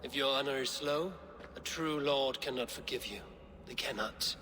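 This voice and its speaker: An actor playing a stern, blunt Viking leader, speaking in terse, solemn declarations. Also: male voice, medium pitch, moderate pace, noisy background, close to an everyday voice. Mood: grim.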